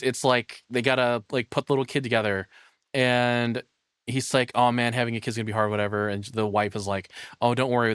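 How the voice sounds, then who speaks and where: A man's voice: mid-range voice, clear, resonant tone, no echo, studio quality. A tired man casually commentating on a live stream as he reacts to a video, crude, profane and self-deprecating.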